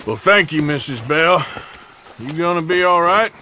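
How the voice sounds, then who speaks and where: Animated American cowboy. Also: male voice, on the high side, normal speed, slight background noise. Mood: friendly, easygoing. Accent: American Western drawl. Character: tough, blunt cowboy outlaw hunting stories. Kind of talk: video game cutscene acting.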